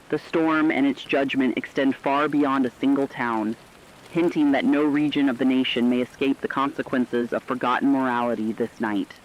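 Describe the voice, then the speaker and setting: A man's voice: mid-range voice, lightly acted. A man playing a grave radio reporter, delivering formal, solemn warnings of terror as scripted dramatic news. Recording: dull lo-fi sound, hissy rain noise.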